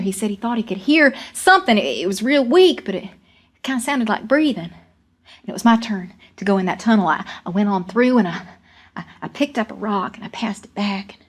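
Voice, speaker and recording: A woman's voice: medium pitch, close to an everyday voice. Woman performing a folksy, earnest young coal miner. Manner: folksy rural speech, halting with repeated words. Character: young coal miner recalling a deadly cave-in, plainspoken, earnest. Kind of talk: dramatic first-person storytelling monologue.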